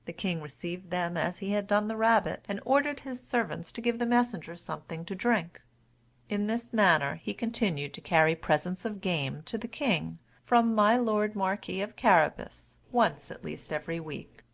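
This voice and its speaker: A woman's voice: mid-range voice, expressive, moderate pace, gravelly. A woman storyteller giving a scripted narration of a classic children's tale.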